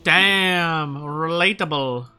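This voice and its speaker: A woman's voice: low voice, very slow, pushed and bright, soft background music. A woman livestreaming a game with casual, informal, reactive commentary. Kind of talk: casual live gaming commentary.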